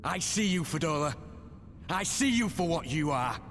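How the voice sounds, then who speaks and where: A man's voice: a little high, lively intonation, moderate pace, noisy background, natural voice. An actor playing an earnest, unyielding male comrade in a dramatic video game cutscene. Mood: stern, reproachful. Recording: long hall reverb, loud music behind.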